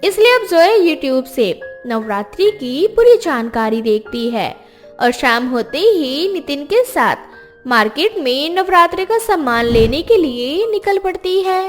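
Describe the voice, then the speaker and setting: A woman narrating a scripted moral story in a steady storytelling manner. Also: high voice, a little slow. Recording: music bed behind voice.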